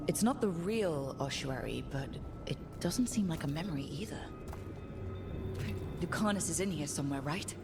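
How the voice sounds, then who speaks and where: Low-voiced, wry heroine. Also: female voice, lively intonation, moderate pace. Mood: composed, questioning. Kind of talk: video game character dialogue. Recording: music behind.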